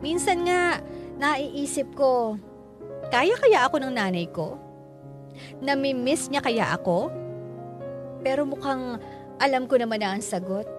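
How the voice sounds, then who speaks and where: Sorrowful young daughter narrating. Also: female voice, sits high, lively intonation. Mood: pained longing. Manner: sorrowful, slow, reflective confiding. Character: hurt young woman, weary daughter narrating her estrangement. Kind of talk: radio drama first-person narration. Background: clear music under the voice.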